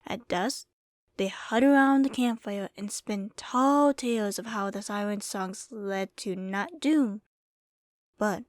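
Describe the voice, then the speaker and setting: Woman acting as old frontier saloon keeper, higher voice, folksy rambling storyteller, dry close sound. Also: no room sound. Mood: storytelling, hushed. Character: folksy old Wild West saloon keeper, teasing and welcoming. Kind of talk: ASMR roleplay, storytelling to the listener.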